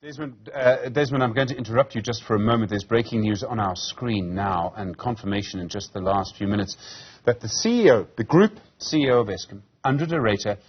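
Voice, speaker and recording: A man in his fifties with a slightly husky voice, presenting and interviewing on live television news. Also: fairly low pitch, brisk, lo-fi sound. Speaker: news anchor.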